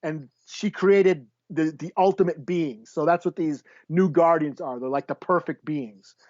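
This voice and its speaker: A man's voice: on the high side, unhurried, a little mellow, no echo. A man hosting a casual comic book podcast, rambling and sweary as he gropes for names. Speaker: podcast host. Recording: slightly dull, dry lo-fi audio.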